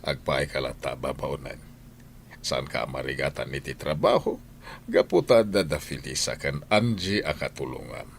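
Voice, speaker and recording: A man acting a calm older father and head of a household in an Ilocano radio drama. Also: extremely low pitch, moderate pace, gravelly, slight background noise. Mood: sympathetic, reassuring.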